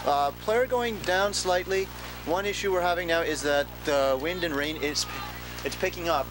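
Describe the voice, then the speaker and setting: A man's voice: a little high, moderate pace. Man casually commentating outdoors in the rain. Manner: relaxed, joking, rambling with fillers. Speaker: play-by-play commentator. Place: outdoor sideline of a rainy field. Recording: noisy outdoor recording.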